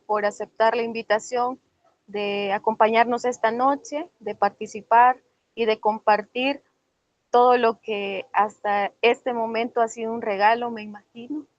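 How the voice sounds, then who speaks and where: A woman organizer who invited the guest, giving a gracious and earnest welcome and thanks. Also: on the high side. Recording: dull, lo-fi sound.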